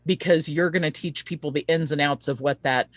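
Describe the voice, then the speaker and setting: A woman's voice: low voice, fairly even intonation, brisk, dry recording. A woman hosting a conversational interview, talking in an emphatic way and given to tangents. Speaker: interview host.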